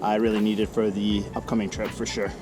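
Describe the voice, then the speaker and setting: A man's voice: fairly low pitch, little pitch movement, fast talker, over music. A man hosting a vlog in casual, laid-back, slangy chat with an upbeat manner. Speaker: vlog host.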